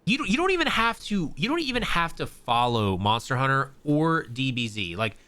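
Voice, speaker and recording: A young man hosting a podcast, chatting excitably in a rambling way with many restarts. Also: mid-range voice, moderate pace. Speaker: podcast host. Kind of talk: casual chat.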